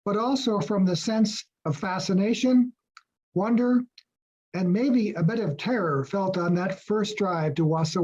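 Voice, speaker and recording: A woman, a former graduate student, reading prepared memorial remarks in a measured way. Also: medium pitch, mellow. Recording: dull lo-fi audio.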